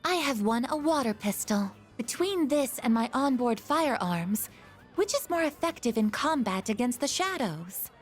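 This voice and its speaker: A woman's voice: a little high, very animated, normal speed, faint music behind. A woman voicing a polite, literal-minded young female android in a video game, speaking in formal, precise, stilted sentences. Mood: earnest, curious.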